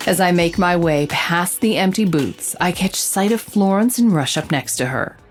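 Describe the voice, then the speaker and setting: A woman's voice: fairly low pitch, normal speed, faint music behind, natural voice. A woman playing a bold, wry investigative reporter who narrates her case in a dramatic, noir-style audio drama. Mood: dramatic narration.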